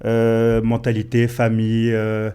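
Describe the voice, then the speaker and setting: A man's voice: fairly low pitch, monotone, measured pace, mellow, clean tone. A man hosting a podcast interview, asking questions casually with many hesitant fillers. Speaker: podcast host. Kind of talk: casual interview, scripted intro.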